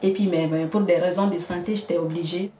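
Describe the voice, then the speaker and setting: A woman from Mali telling her story of exile, hesitant with many pauses. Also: fairly low pitch, steady tone, warm tone. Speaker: interviewee, project founder. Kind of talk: personal testimony. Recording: roomy with faint noise.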